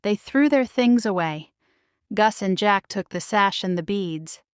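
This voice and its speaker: Unhurried, clear-voiced woman reading a storybook. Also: mid-range voice, expressive, no room sound, studio quality. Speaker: storybook reader. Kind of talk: scripted children's story narration.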